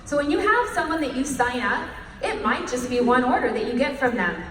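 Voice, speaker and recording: A woman's voice: high voice, lively intonation, fairly quick, a bit bright, a little hiss or hum. A woman hosting a breakout session, speaking to an audience in an upbeat, motivational way. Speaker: session host. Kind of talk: presentation to an audience. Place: large echoey hall. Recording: roomy hall recording from a distance.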